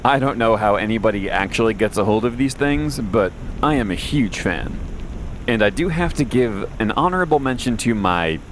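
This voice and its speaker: A man playing a wry, anxious post-apocalyptic radio host in an audio drama, rambling casually with deadpan, self-deprecating humor. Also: lowish voice, moderate pace, a little hiss or hum, close to an everyday voice. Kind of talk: conversational audio drama monologue, answering listener messages.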